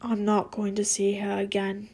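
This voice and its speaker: A woman's voice: on the high side, fairly even intonation, takes it slowly, mellow. A woman voicing a stern, exasperated man in a story, curt and commanding. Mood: weary. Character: stern, seething adult man, demanding and exasperated.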